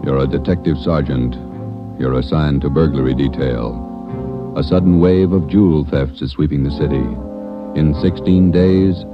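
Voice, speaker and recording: Solemn, extremely deep American radio announcer. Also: male voice. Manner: solemn, measured. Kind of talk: 1940s radio scripted narration. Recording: dull 1940s radio recording. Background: dramatic music.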